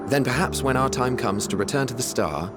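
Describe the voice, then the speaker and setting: A man's voice: mid-range voice, lively intonation, fairly quick, over loud music, lightly acted. Wistful, lilting male scholar played by an actor. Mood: wistful, musing. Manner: reflective, eloquent, formal. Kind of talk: dramatic scripted game acting.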